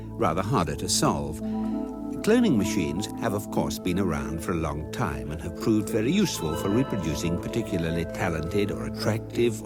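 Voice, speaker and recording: An actor playing a wry, measured guidebook narrator, delivering scripted comic radio narration deliberately and drily. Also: male voice, low voice. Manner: deadpan, droll, deliberate. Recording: music behind the narration.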